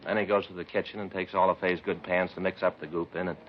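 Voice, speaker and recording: An actor with an American accent playing a put-upon police detective who grumbles in folksy deadpan in a 1950s radio drama. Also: male voice, sits low, fast talker, natural voice. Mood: aggrieved. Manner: folksy, aggrieved deadpan storytelling. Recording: muffled vintage radio recording, hissy.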